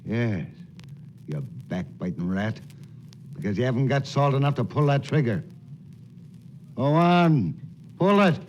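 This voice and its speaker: A man's voice: medium pitch, animated, muffled recording, lightly acted. An actor playing a menacing, sneering outlaw boss who taunts his men in a 1940s radio western. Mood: taunting. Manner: slow, taunting. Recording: faintly noisy 1940s radio recording.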